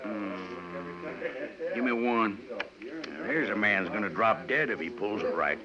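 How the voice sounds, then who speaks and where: An actor playing a folksy, easily amused Old West poker player with a rustic Western American drawl, in 1950s radio western style. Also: male voice, lowish voice, lively intonation, a little slow. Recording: dull old radio, noisy saloon chatter.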